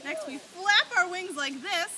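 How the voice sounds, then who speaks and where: A busy little honeybee, played by a woman, explains herself in a high voice. It is an industrious puppet-show figure in a very noisy amateur recording. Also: very animated, unhurried, full character voice. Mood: busy, explaining. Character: small busy female honeybee, brisk and industrious. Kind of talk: children's puppet show storytelling.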